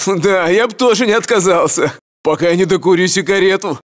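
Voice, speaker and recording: An actor playing a brash, foul-mouthed Russian soldier in video game battle chatter, with a coarse, bantering way of talking. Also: male voice, high-pitched, brisk, big-room sound, lightly acted.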